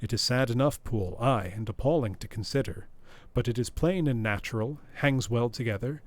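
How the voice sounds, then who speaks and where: A man voicing a composed, formal older male lawyer who reasons calmly, close to his own voice. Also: mid-range voice, a little fast, lightly acted.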